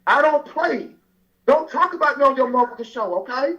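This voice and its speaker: A pastor from Alabama, calling in to a radio show and angrily threatening the host. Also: male voice, very high voice, expressive, fairly quick, sharp tone. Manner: angry, threatening, repetitive. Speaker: caller, pastor. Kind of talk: angry phone call to a radio show. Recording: dull lo-fi phone-in sound.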